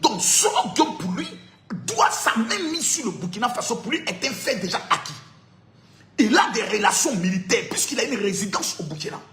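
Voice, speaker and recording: A man giving an animated political talk, sing-song and laughing as he speaks. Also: high-pitched, moderate pace, echoey room.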